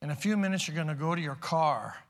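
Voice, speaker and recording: A man preaching a sermon as pastor, moving from loud emphatic calls to quiet, hushed asides. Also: on the high side, lively intonation, quick pace. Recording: long reverb of a large hall.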